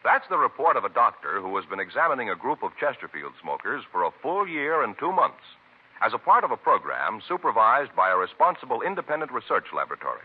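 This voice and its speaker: Husky, swooping American radio announcer man. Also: mid-range voice, big pitch swings, quick pace. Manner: emphatic, formal. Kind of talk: 1950s radio announcing, dramatic scripted intro and ad read. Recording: muffled vintage radio recording with music.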